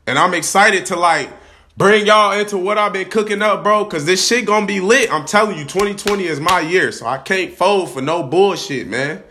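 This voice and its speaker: Hyped young man, hoarse from a cold. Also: high voice, normal speed, pushed and bright, a little hiss or hum. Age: young adult. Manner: hyped, slangy, swaggering. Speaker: podcast host. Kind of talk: casual vlog-style podcast talk. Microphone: camera.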